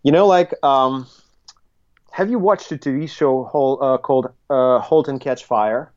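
A middle-aged man, speaking conversationally as an interview guest and haltingly thinking his way through his answers. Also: mid-range voice, darkish tone, dull audio. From Russia, Soviet Union. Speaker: interview guest, tech consultancy CEO. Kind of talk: conversational interview, recounting career.

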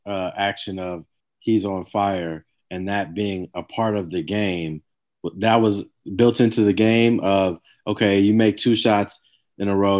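Low-voiced man asking an interview question. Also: a little mellow.

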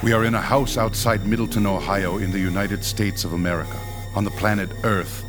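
Man as a low-voiced, pompous ghost-hunting ex-priest. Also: steady tone, music in the background, natural voice. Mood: composed, grave. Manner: formal, measured, pompous. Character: proud, dignified male ex-priest leading ghost hunters. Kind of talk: radio horror drama acting.

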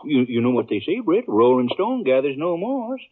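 An actor playing a chatty, nosy old storekeeper with a rural Western American accent in a 1950s radio Western. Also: male voice, a little high, big pitch swings. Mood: folksy, advising. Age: older. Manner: chatty, rambling, drawn-out folksy remarks. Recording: thin, muffled 1950s radio recording.

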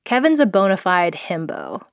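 A woman's voice: on the low side, huge pitch swings, moderate pace, dull audio, soft background music. A woman born in South Korea and raised in the US, hosting an audio documentary in a wry, sardonic voice with pop-culture slang. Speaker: producer and host. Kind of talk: scripted audio documentary narration.

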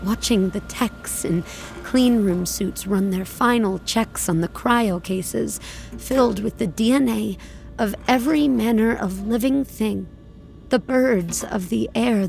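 A woman playing an uneasy space colonist, narrating her journey in a measured, deliberate way for an audio drama. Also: mid-range voice, gravelly, darkish tone, soft background music. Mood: wistful. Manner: measured, deliberate, brooding delivery. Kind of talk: scripted audio drama narration, first-person.

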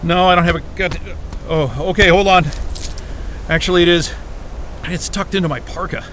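A man playing an irritable, sweary young astrophysicist in a naturalistic audio drama, talking in a grumbling, halting way. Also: high voice, a bit bright, a little hiss or hum. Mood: exasperated. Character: groggy, irritable, freezing young male astrophysicist.

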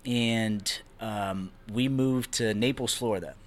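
A young man from Brazil, a real estate investor, talking as a guest in a relaxed podcast conversation, peppering his talk with "man" and "you know". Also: on the low side, slow pace. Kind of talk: casual interview talk.

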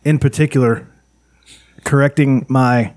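A man's voice: medium pitch, fairly even intonation, unhurried, a little mellow, no echo. A man co-hosting a casual podcast, chatting loosely and laughing often at his own jokes. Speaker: podcast co-host.

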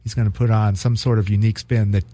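A man co-hosting a music podcast, chatting casually and reading quiz questions aloud with some halting restarts. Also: fairly low pitch. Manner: relaxed, halting, reads quiz cards aloud. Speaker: podcast co-host.

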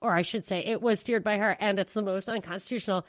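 A woman's voice: medium pitch, steady tone, fast talker, no echo, clean studio sound. An American woman co-hosting a podcast, giving casual, opinionated and emphatic political commentary. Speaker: podcast co-host.

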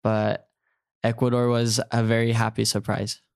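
Young man, smooth and even-toned. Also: mid-range voice, moderate pace, no echo, clean studio sound. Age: early 20s. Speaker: podcast guest, returned missionary. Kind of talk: personal storytelling in an interview.

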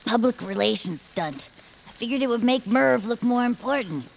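Brief: a plotting superfan commander, high lively voice with heavy gravel. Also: female voice, moderate pace, a little hiss or hum, rough recording. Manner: eager, persuasive, scheming. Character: eager young female self-styled space commander, scheming superfan. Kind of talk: audio drama acting.

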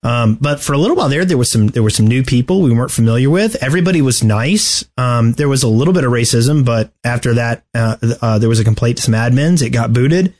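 A man's voice: medium pitch, lively intonation, fast, hardly any pauses, darkish tone. A man co-hosting a gaming podcast in casual, joking, teasing banter. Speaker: podcast co-host.

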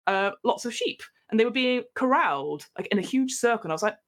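A woman's voice: fairly high pitch, animated, fast talker. A woman with a northern English accent from northwest England, a podcast guest telling a story in a rambling, self-mocking way. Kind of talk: casual storytelling chat.

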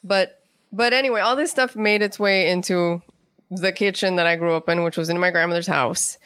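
A woman being interviewed as a writer, telling personal stories in a conversational, animated way. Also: on the low side, normal speed.

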